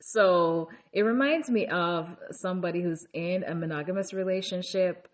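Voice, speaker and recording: A woman hosting a casual reality TV recap, chatty and conversational, with many fillers and asides, raising her voice to act out quoted lines. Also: normal speed. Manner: acts out quoted lines in a raised pitch. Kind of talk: casual episode recap commentary.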